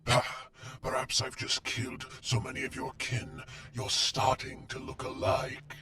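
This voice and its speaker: A man's voice: mid-range voice, completely flat delivery, a little slow, pushed and bright. A man playing an ancient, soulless robot overlord in an audio drama, mocking and pompous, with music behind. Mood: gloating menace. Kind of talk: scripted audio drama acting.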